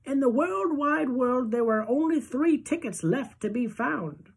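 A reader doing a thin high old grandmother. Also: animated, unhurried, gravelly, breathy edge, heavily acted. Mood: consoling. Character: frail elderly grandmother, gentle.

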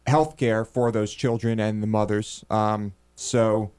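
A man's voice: lowish voice, little pitch movement, slow pace, darkish tone, clean tone. A man in his twenties with an American accent, casually discussing politics as a co-host on a close studio mic. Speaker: talk show co-host. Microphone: close studio microphone on boom arm, headphones.

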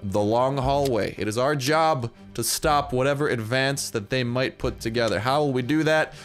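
A young man giving casual, excitable commentary over his own gameplay, jumping into high yelps when the battle turns. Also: moderate pace, faint music behind. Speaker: gaming YouTuber.

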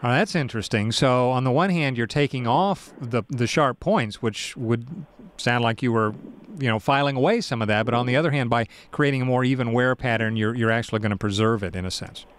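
A man's voice: medium pitch, a little fast, smooth. A man hosting a radio interview, asking curious questions and restating points for his listeners. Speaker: radio show host.

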